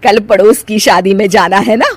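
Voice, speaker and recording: A woman's voice: mid-range voice, steady tone, moderate pace, breathy edge, no echo, natural voice. A woman voicing a haughty, domineering middle-aged mother-in-law in a cartoon family drama. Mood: brisk, favouring. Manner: scolding, domineering.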